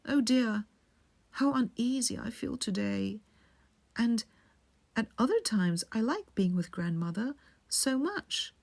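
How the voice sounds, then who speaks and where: Woman playing a high-voiced innocent storybook little girl. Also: animated, slow, creaky, pauses often, a little mellow, full character voice. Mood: uneasy. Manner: naive, wide-eyed exclaiming. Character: innocent, sweet little girl in a fairy tale.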